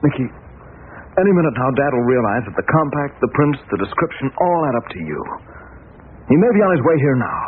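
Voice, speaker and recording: A man playing an earnest, insistent young sleuth in a 1930s radio mystery. He has a medium-pitched voice. The old recording is narrow and tinny, with faint noise. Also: big pitch swings, a little fast, close to an everyday voice. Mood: grave, pressing. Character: earnest, urgent young male amateur detective. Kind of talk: 1930s radio drama acting.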